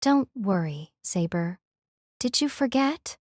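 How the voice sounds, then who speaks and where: A woman playing a gentle, composed noble lady in an audio drama, speaking politely and reassuringly. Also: medium pitch, expressive, slow, no room sound, studio quality.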